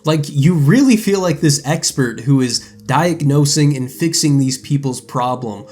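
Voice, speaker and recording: A man's voice: mid-range voice, darkish tone. A man narrating a scripted video game review as an essayist. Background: background music.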